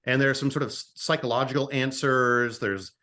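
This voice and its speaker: A man chatting casually as a writer guest in an interview, halting and thoughtful. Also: medium pitch, steady tone, pushed and bright.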